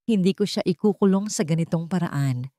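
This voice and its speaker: A woman's voice: lowish voice, normal speed, dark tone, no echo, lightly acted. Torn, earnest young man in romance audio story, voiced by female narrator; dry recording. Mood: firm, defiant. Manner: confused, earnest. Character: troubled young man in love, torn and defiant.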